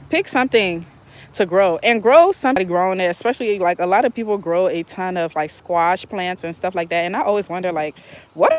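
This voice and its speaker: A talkative woman chats casually to her viewers while she waters her garden outdoors. She speaks into a phone, laughs often and talks to her audience like friends. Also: fairly low pitch. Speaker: gardening vlogger.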